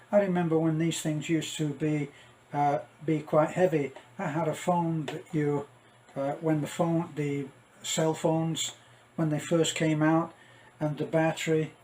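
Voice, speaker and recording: A middle-aged man giving a casual, rambling unboxing commentary, halting and thinking aloud as he goes. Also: fairly high pitch, a little mellow, a little hiss or hum, poor audio. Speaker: vlogger.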